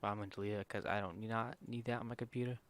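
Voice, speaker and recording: A man with a soft, quiet voice that sits a little low talks through a screen-recorded tutorial. He rambles informally and leans on fillers. He often stops mid-phrase to correct himself. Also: little pitch movement, very fast. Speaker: streamer giving a software tutorial.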